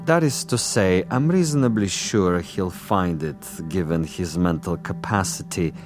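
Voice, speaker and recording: An actor in a radio drama playing a smug, cultured commissar who savours his words as he toys with his prey. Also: low voice. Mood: smugly teasing. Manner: measured, suave, theatrically savouring his words. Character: smug, cultured, cunning male secret-police commissar toying with his prey. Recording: radio drama with music underneath.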